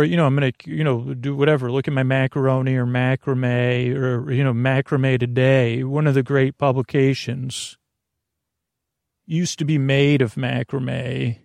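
A man with a low-pitched, creaky voice hosts a bedtime podcast, recorded close and dry. He wanders through his monologue haltingly, stumbling over words and doubling back on his own sentences. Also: darkish tone, dry recording. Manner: meandering, halting, self-interrupting with stammered restarts. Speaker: podcast host.

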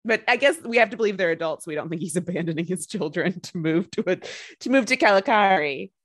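A woman's voice: fairly low pitch, expressive, quick pace, no room sound, studio quality. A woman co-hosting a podcast, chatting casually and jokingly about a film, with lots of fillers. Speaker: podcast co-host.

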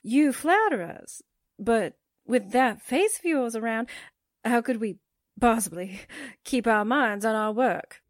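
A woman's voice: a little high, lively intonation, slow pace, no echo, studio quality. A woman playing a skeptical, sarcastic newspaperwoman sidekick in a 1940s-style radio drama. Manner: sarcastic, pointed. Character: sassy, skeptical newspaperwoman sidekick with dry wisecracks.

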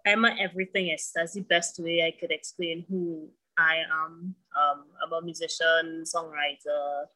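A woman in her thirties with a bright, forceful tone. She answers as an interview guest, taking her time and listing things off at length. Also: low voice, rough recording. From Trinidad and Tobago. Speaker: guest musician. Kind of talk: casual interview chat.

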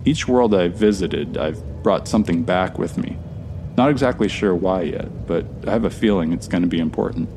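A man's voice: low voice, normal speed, background noise. A man acting in an audio drama as a polite, earnest traveler between worlds. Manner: courteous, sincere.

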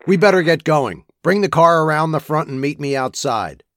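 A man's voice: fairly high pitch, animated, moderate pace, a bit bright, slight background noise. A man playing an obedient, businesslike hired criminal in his forties in an audio drama. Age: 40s. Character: husky middle-aged hired kidnapper, obedient and businesslike.

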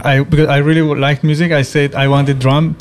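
A man's voice: on the high side, flat delivery, fairly quick. A man who grew up in Iran, a musician guest, speaking in a casual interview with a hesitant manner and many restarts.

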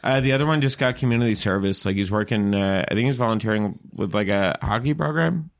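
A man, a comedian, chatting and punning loudly in a casual podcast, with deadpan jokes. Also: lowish voice, fast. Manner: loud, jokey, deadpan puns.

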